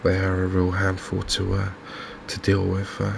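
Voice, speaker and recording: Softly spoken, low-ranged monotone man with creaky voice. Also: background noise. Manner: unhurried, hesitant, musing to himself. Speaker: gaming video host. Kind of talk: softly spoken ASMR game commentary.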